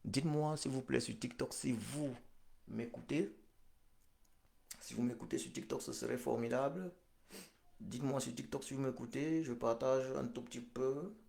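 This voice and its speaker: A middle-aged man, recovering from a hospital stay, hosting a live-stream political address in a solemn, slow, repetitive way full of fillers. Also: mid-range voice, vocal fry, darkish tone. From Cameroon. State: convalescent after hospital stay. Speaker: political livestream host.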